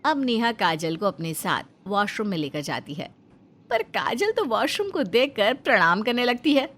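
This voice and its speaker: A woman narrates a scripted household story in the role of storyteller. Her delivery is lively in pitch, with faint noise behind the voice. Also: moderate pace.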